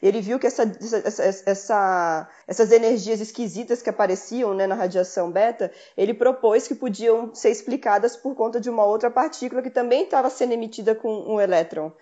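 A woman co-hosting a podcast, explaining physics history in a relaxed way with frequent tag questions. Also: a little high, brisk, hardly any pauses. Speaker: podcast co-host. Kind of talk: casual science explanation. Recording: slightly dull lo-fi mic.

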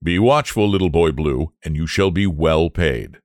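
A man's voice: very deep voice, normal speed, darkish tone, dry recording, clean studio sound. A man voicing a kind, genial, wealthy country squire in a storybook reading. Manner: kindly, genial.

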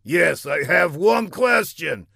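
A man's voice: a little high, lively intonation, takes it slowly, gravelly, bright, forceful tone, no room sound, clean studio sound, pitched above the performer's own voice, full character voice. A man voicing a pompous, hot-tempered villain boss who barks threats in an imperious, exasperated way in a comic audiobook. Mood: indignant.

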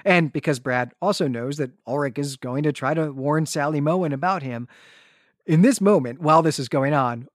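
A man co-hosting a podcast, retelling and discussing a story in a casual, animated way with a readiness to chuckle. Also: on the high side, no echo, clean studio sound. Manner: animated storytelling, often chuckling through sentences. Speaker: podcast co-host. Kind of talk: casual conversational literary discussion and plot retelling.

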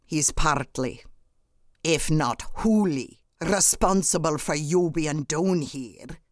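A woman's voice: low voice, slow, no room sound, clean studio sound. A woman voicing a blunt, mocking dwarf miner who taunts in colourful insults. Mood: derisive.

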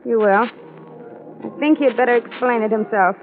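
A cool, mysterious femme fatale in a mid-century radio thriller. She speaks in measured, cryptic phrases on a thin, narrow old recording with faint music. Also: fairly high pitch. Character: cool, enigmatic femme fatale of a waterfront bar. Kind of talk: 1940s-50s radio drama acting.

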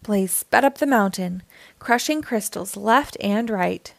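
A woman reading a children's storybook aloud with expressive, measured narration. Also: mid-range voice, no echo. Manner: measured, clearly phrased.

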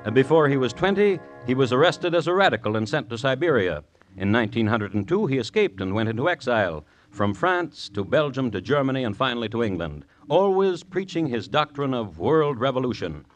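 Old-time radio host, male actor: morbid, droll connoisseur of crime, mid-range voice, deliberate scripted delivery, faint music. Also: mid-range voice, very animated, natural voice. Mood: dry, deliberate. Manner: dry, deliberate, wry. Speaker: host and narrator. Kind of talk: 1950s radio scripted narration.

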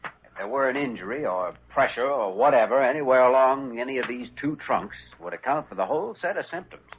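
A man playing an earnest, brisk young hospital physician with a clinical manner, in 1940s radio drama style. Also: mid-range voice, lively intonation, lightly acted. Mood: grave, intent. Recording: dull, noisy vintage radio recording.

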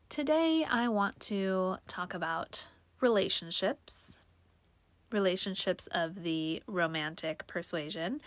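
Young woman vlogger, stuffy nose, slow reflective delivery, dry close sound. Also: mid-range voice, a bit bright, no echo. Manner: slow, reflective, many ums and likes. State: stuffed up from allergies. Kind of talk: casual personal vlog talk.

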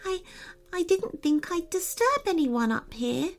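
Woman voicing a tiny tearful fairy, high-pitched. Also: sing-song, measured pace, soft background music, heavily acted. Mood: tearful, apologetic. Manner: timid, sniffling, very polite. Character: tiny tearful female fairy, polite and sad. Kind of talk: children's storybook narration.

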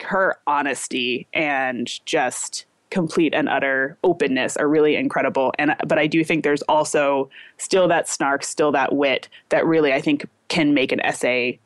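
A woman's voice: deep voice, no echo. A young woman recommending books in a casual chat, enthusiastic and chatty with lots of "like" and "um". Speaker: book recommender.

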